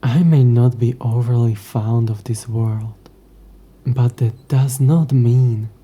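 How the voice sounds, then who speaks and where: A man playing a haughty, possessive ancient demon in a scripted audio roleplay, with a hushed, breathy voice and dry, condescending, formal speech. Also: mid-range voice.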